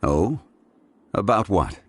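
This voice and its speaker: An actor playing an ancient god in human form, calm, formal and wistful, in measured video game dialogue. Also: male voice, lowish voice, a little hiss or hum. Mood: calm, courteous.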